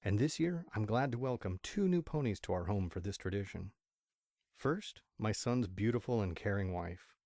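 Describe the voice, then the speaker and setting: A man playing a proud, warm-hearted pony father making a measured, ceremonial speech in an amateur audio drama. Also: lowish voice, lively intonation, no room sound, clean studio sound. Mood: proud, affectionate.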